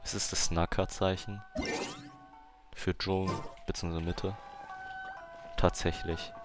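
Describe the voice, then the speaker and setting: A man's voice: sits low, flat delivery, measured pace, over music. A man with a German accent, commenting casually on a game and reading dialogue aloud in a slangy manner that mixes in English. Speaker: gamer playing and commenting.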